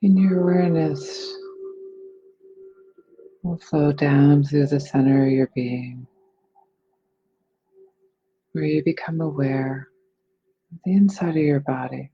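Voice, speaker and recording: A person leading a guided meditation in a soothing, unhurried manner. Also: mid-range voice, dark tone, lo-fi sound. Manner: soothing, unhurried phrasing with breathy releases.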